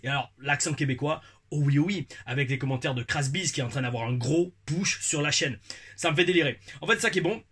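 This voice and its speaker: A man in his forties hosts a casual video review, talking in an exuberant, rambling way full of repeated catchphrases. His voice is bright and edged, a little husky, and sits somewhat high for a man. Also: fast talker. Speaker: wrestling review host.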